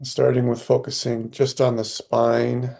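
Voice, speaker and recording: Man, meditation guide, soft, gravelly, flat delivery. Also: mid-range voice. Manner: slow, soft, long pauses.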